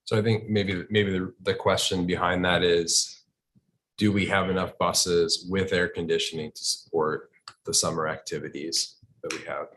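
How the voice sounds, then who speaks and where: Very deep, monotone man chairing. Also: moderate pace, a little hiss or hum, poor audio. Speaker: board meeting chair. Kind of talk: informal meeting moderation. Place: indoor school board meeting room.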